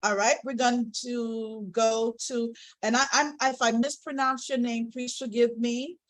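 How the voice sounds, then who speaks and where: A woman with a high, bright-pitched voice hosts an online poetry reading, encouraging and polite as she calls up the next reader. The sound is dry and close over a video call. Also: steady tone, a little slow, dry recording, poor audio. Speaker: event host.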